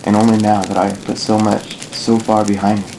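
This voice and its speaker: A low-pitched, thoughtful elf ranger, acted by a man for a fantasy audio drama. He speaks formally and solemnly, like an idealist recounting a long life. The amateur recording has noticeable noise behind the voice. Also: flat delivery, a little fast, vocal fry. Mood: solemn, reflective. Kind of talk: fan audio drama narration, literary monologue.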